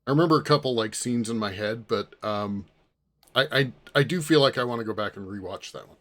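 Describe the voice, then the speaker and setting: Casual man with medium pitch. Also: a little fast, fairly bright tone. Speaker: podcast co-host. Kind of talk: casual group chat.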